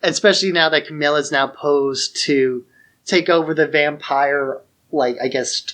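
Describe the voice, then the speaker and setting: A man with a somewhat high voice chats as a podcast co-host. His delivery is casual and slangy, and he gives his fan opinions bluntly. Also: measured pace, a bit bright.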